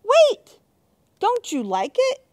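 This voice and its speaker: A woman's voice: on the high side, wildly swooping pitch, slow pace, full character voice. Woman voicing a cheerful little pumpkin boy. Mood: eager. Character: cheerful young male pumpkin who loves fun.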